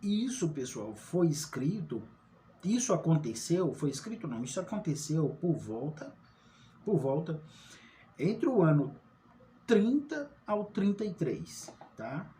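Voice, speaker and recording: A man's voice: on the high side, big pitch swings, measured pace, mellow, a little hiss or hum. A man giving an informal Bible study, often asking rhetorical questions and checking in with his listeners. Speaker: online Bible teacher.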